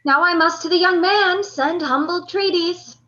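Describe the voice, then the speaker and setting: A proud, lovesick general played by a woman declaims in Shakespearean style for a radio play. The voice is high and forceful, full of passion. The audio is slightly dull and lo-fi. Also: normal speed. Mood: anguished, ashamed. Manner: declamatory, impassioned.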